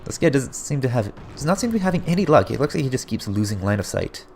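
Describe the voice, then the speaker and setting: Gravelly, hoarse male game caster. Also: mid-range voice, fast talker, slight background noise. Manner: excitable, frequent surprised exclamations. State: hoarse, voice getting raspy. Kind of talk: play-by-play commentary on a strategy game replay.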